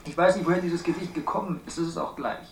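An actor playing an earnest, otherworldly hippie poet in a radio drama, speaking solemnly as if declaiming on tape. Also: male voice, on the high side, fairly even intonation, quick pace, a little hiss or hum, lightly acted. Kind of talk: 1960s German radio drama, played as tape recording. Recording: echoey taped voice with music.

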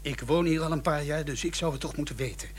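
A man's voice: on the high side, fast, slight background noise, natural voice. A man acting a pompous, self-assured village vicar with little patience, firm and formal, in a radio drama.

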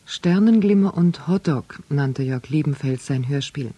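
Very dark, dry-sounding male announcer. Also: fairly high pitch, lively intonation, a little slow, dry recording. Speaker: announcer reading the credits. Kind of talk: scripted radio announcement.

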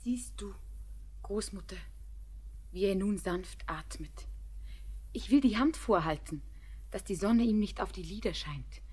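A young woman playing an anxious, devoted bride in a German radio drama, breathy and often near a whisper, with tender, fervent, poetic delivery. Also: mid-range voice, unhurried, pauses often, darkish tone. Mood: hushed, tender. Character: anxious, devoted young bride keeping watch over her beloved.

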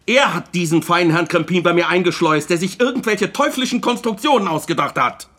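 An actor playing a defensive, blustering film producer under questioning, with a husky voice, in a radio drama. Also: male voice, sits high, a little fast, pushed and bright. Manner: aggrieved, blustering.

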